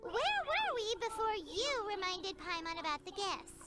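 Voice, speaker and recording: A woman voicing a small, chirpy, cheeky childlike fairy companion in a video game, with a squeaky voice. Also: big pitch swings, measured pace, heavily acted. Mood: chatty, sheepish. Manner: speaks of herself in third person. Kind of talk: animated video game voice acting. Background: music.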